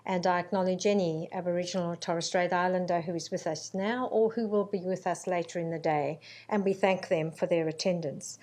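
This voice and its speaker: A middle-aged woman born in Zambia giving a formal conference welcome speech, measured and earnest, with frequent ums. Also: on the low side, a little mellow. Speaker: university vice-chancellor. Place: conference hall.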